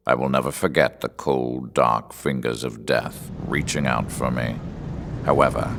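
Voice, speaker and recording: An actor playing a cold, ruthless male villain in a game cutscene, speaking slowly with a deep gravelly voice and icy menace. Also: noisy background, heavily acted. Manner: slow, icy, menacing delivery. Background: music.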